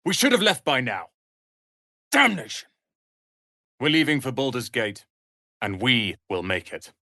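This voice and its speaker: Casting: a haughty young male apprentice mage for a fantasy game; grandiose, crisp diction, medium register, very dry sound. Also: mid-range voice, sing-song, a little slow, sharp tone, no room sound, exaggerated voice. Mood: agitated, urgent. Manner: pompous, articulate, theatrical. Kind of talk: fantasy video game voice acting.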